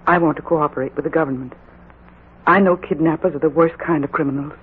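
An actress playing an anxious, pleading wife in a 1940s radio drama, hesitant and earnest. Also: female voice, low voice, fairly even intonation, fast talker, husky. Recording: narrow-band, faintly noisy old radio recording.

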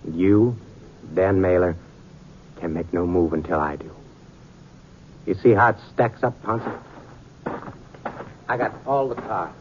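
Very deep-voiced, menacing Western outlaw. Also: male voice, takes it slowly, pauses often. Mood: smug threat. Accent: American. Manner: taunting, rough rural speech. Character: menacing vengeful male gunslinger, coldly threatening. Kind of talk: 1950s Western radio drama acting. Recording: noisy, narrowband 1950s radio recording.

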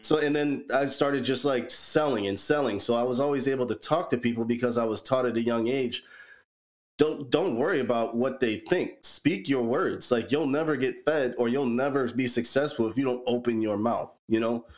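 A man in his forties co-hosting a podcast, telling personal stories in a relaxed, rambling way with frequent repeated words. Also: mid-range voice, moderate pace. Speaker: podcast co-host. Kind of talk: casual chat, personal storytelling.

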